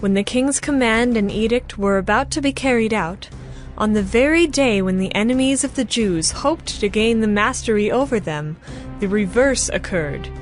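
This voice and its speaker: High-pitched woman narrating scripture over music. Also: lively intonation, normal speed. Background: faint background music.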